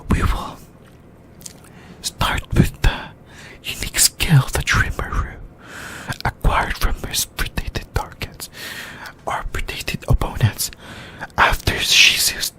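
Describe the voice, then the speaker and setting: A YouTuber whispering an ASMR anime discussion in a halting way, in short broken phrases. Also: pushed and bright. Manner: whispering throughout.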